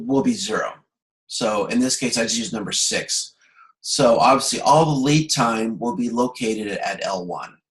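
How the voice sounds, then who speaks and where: Guy teaching an online class over video call, explains stuff step by step, kinda halting, medium pitch. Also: fairly bright tone, low-quality recording. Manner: halting, explanatory, often checking understanding. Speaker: university instructor. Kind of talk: online lecture working through a problem.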